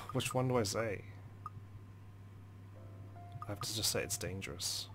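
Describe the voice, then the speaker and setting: A man's voice: fairly low pitch, measured pace, a bit bright, faint music behind. A man hosting a let's play, reading a visual novel's story aloud as dramatic, brooding narration.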